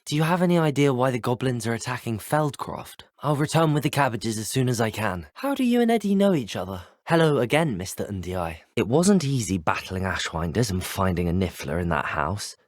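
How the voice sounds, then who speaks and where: A man's voice: medium pitch, lively intonation, a little fast, few pauses, no room sound, clean studio sound, close to an everyday voice. A young man with a British accent playing a polite, curious, brave teenage student wizard in a video game. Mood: courteous, earnest. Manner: polite, well-spoken, formal. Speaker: video game player character. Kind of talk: scripted video game dialogue.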